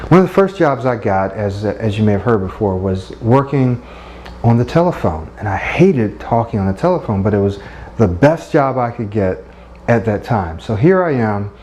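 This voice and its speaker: A middle-aged man coaching listeners on speaking, earnest and encouraging, often checking in with a quick "right?". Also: on the low side, darkish tone, a little hiss or hum. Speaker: speech coach. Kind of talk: instructional talk.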